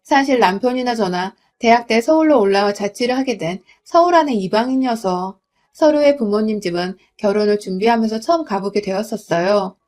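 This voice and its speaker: A woman's voice: a little high, brisk, a little mellow. Young woman reading a confiding story. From Gwangju, South Korea. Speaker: narrator reading a submitted story. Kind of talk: scripted first-person storytelling.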